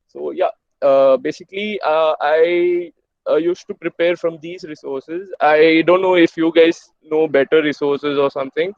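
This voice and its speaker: Halting young man on a muffled call. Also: on the high side, steady tone, gravelly, a little mellow, no room sound. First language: Hindi and Urdu. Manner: halting, with many "uh" fillers, encouraging. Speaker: webinar speaker. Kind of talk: motivational career-advice talk. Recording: dull lo-fi online call audio.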